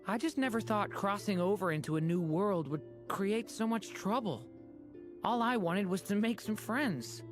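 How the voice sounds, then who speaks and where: A woman's voice: mid-range voice, moderate pace, natural voice. A woman voicing an earnest, wistful young dragon boy in a video game, innocent and plain-spoken. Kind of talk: video game drama acting. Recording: loud music behind the voice. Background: music.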